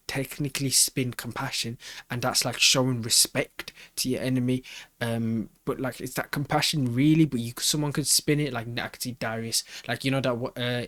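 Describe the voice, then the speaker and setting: A man's voice: medium pitch, a bit bright, no room sound. A man giving casual reaction commentary as host, rambling and slangy as he thinks aloud, recorded on a close mic.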